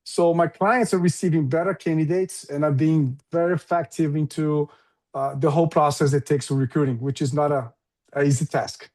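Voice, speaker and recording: Middle-aged man, Brazilian accent, enthusiastic interview guest; fairly high, mellow voice. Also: fairly even intonation, moderate pace. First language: Portuguese. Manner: enthusiastic, lists points with frequent fillers. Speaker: guest, recruiting business founder. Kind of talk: conversational interview.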